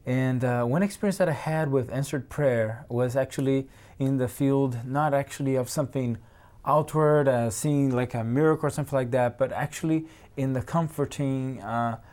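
A man's voice: medium pitch, normal speed, darkish tone. A young man from Brazil, a native Portuguese speaker with a Brazilian accent, speaking earnestly as a theology student guest. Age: young adult.

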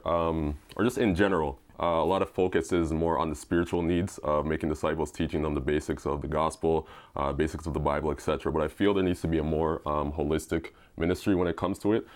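Very deep-voiced man, relaxed. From Canada. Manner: relaxed, thoughtful, many fillers. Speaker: seminary student, panelist. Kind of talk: casual discussion.

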